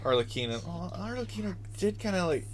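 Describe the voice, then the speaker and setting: A woman's voice: fairly low pitch, big pitch swings, fairly quick, a little hiss or hum. A woman live-streaming a game, giving casual, joking reactions to the story. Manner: joking, laughs easily.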